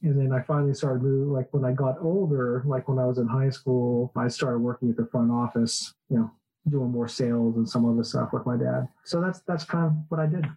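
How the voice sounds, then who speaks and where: A man in his forties from Southern California, a guest telling stories in a relaxed, easygoing way with lots of fillers. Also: mid-range voice, a little fast, low-quality recording. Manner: relaxed storytelling, many fillers. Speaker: podcast guest, entrepreneur. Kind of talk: casual interview chat.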